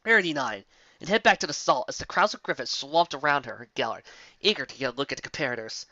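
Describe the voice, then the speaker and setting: A woman reads a fantasy tale as its narrator with dramatic, very animated delivery. Her voice is low yet bright, recorded dry with a slightly dull tone. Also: fast talker, no room sound. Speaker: story narrator. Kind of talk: dramatic reading of fan fiction.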